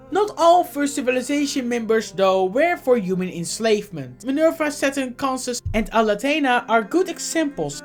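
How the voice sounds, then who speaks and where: A woman with a cold narrating a scripted explainer as a video host. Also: fairly high pitch. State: has a cold.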